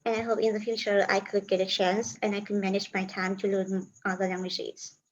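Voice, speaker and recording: A woman whose first language is Vietnamese, answering carefully and in measured words in a group chat. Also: medium pitch, flat delivery, lo-fi sound. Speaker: participant.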